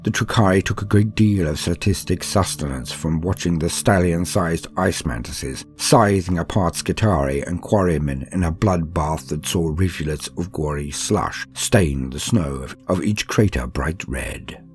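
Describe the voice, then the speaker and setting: A man with a deep voice narrates from a book. His delivery is calm and steady, measured like an audiobook meant for relaxing to. Quiet music plays behind him. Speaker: narrator reading a campaign book aloud. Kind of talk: scripted narration, calm bedtime-style audiobook reading.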